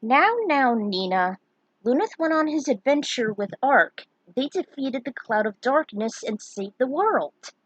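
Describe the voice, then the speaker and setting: A woman acts out a village elder in a story, kindly and placating. The voice is slow and a little husky. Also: sits high, lively intonation, dry recording, dull audio, voice pushed up.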